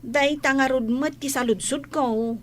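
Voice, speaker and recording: A woman's voice: high voice, steady tone, unhurried. A woman with an Ilocano Filipino accent playing a nosy, plain-spoken household companion in a radio drama. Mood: casual, grumbling.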